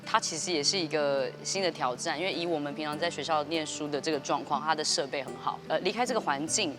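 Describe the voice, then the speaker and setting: A Taiwanese woman in her thirties explains things quickly and fluently in a notably low voice. Music plays clearly under her in a TV studio. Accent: Taiwanese Mandarin. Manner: fluent, measured explaining with fillers. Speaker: guest, dance company artistic director. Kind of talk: interview answer. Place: indoor TV studio set.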